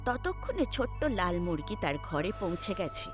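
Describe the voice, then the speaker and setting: A woman tells a children's story as narrator. Her voice sits fairly low but moves expressively through a wide range. Loud music runs behind the scripted narration. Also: big pitch swings, moderate pace.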